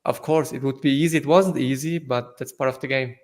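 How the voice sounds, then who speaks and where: A young man from South Tyrol whose first language is German, speaking English with a German accent as an interview guest, halting with fillers and restarts. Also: mid-range voice, fairly even intonation, a little mellow. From northern Italy, South Tyrol. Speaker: guest entrepreneur. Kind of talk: casual interview answers.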